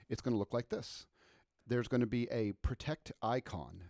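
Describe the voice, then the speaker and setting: A man giving a casual software walkthrough as a product trainer, with lots of ums and self-corrections. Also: fairly low pitch, no room sound, clean studio sound. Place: voice-over on a screen recording.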